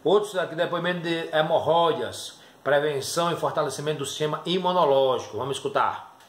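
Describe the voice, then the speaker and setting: A man's voice: a little high, fairly quick. A middle-aged man talking informally to camera, presenting and selling a product. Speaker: herbal tea seller presenting testimonials. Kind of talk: informal sales talk to camera. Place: small dim room indoors. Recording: roomy echo, faint background noise.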